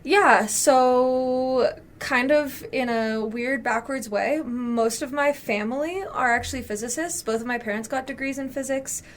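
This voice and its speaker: Young woman, kinda high voice, easygoing storytelling, light background hiss. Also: measured pace. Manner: fluent, relaxed storytelling with some ums. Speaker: graduate student guest. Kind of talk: casual interview answer.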